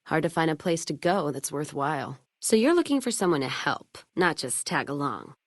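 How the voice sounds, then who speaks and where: A woman's voice: low-pitched, sing-song, normal speed, no echo, clean studio sound, full character voice. A woman voicing a hard-drinking, hot-tempered, cynical caravan trader in a video game, with a blunt, sardonic, salty and world-weary delivery. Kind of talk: video game character dialogue.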